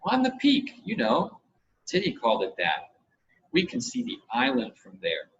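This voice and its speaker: A man voicing an eager, pleading, excitable young boy in a storybook reading. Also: mid-range voice, unhurried, recorded from a distance, full character voice. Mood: excited. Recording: dull, lo-fi with audible background noise.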